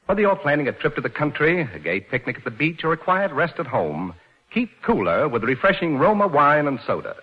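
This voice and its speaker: A man's voice: a little high, big pitch swings, brisk, slightly rough. An American man working as a radio announcer, reading a scripted 1940s sponsor message with a polished salesman's delivery. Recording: dull vintage radio recording.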